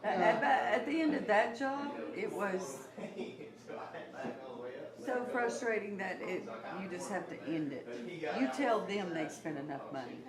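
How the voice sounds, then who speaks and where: A woman's voice: mid-range voice, lively intonation, measured pace. A woman chatting casually at a party. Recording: roomy party recording, loud chattering crowd.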